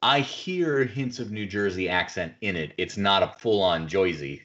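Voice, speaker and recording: Low-voiced man recapping, slightly muffled. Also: moderate pace, fairly bright tone. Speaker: podcast host. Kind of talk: casual film recap banter.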